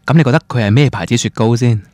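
An actor with a Cantonese accent playing a gentle but opinionated young man in a radio drama. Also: mid-range voice, fast, dry recording, lightly acted. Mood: challenging. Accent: Cantonese, Guangdong.

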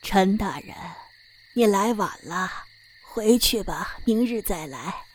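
A woman's voice: mid-range voice, lively intonation, slow pace, slight background noise. Lady doing a stubborn scolding granny from a chinese period radio play, curt, swoopy delivery. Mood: curt, annoyed. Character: stubborn, scolding old village woman.

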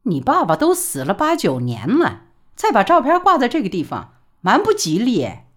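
A woman voicing a hot-tempered middle-aged mother who scolds her son in a shrill, high voice. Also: huge pitch swings, normal speed. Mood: indignant.

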